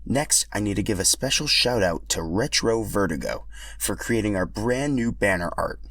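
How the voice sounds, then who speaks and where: Male podcast host, scripted storytelling read, lowish voice, very dry recording. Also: very animated, normal speed, a bit bright, no echo. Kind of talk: scripted narration.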